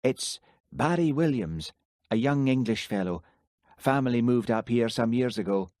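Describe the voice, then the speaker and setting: A man's voice: mid-range voice, expressive, moderate pace, a little mellow, dry recording, clean studio sound, voice pushed up, natural voice. A man reading aloud as an older male professor who rambles and grumbles reluctantly. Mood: hesitant.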